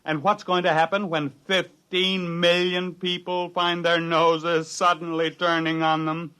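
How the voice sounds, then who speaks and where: A man's voice: on the high side, flat delivery, measured pace, pushed and bright, clear, resonant tone. An actor playing an exasperated, commanding research scientist who tells his ordeal in dramatic 1950s radio style. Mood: desperate. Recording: restored 1950s radio broadcast, music behind.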